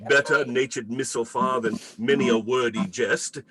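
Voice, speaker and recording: A man narrating a radio play in a theatrical, deliberate storybook style. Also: mid-range voice, fairly even intonation, a crowd in the background. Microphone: video call.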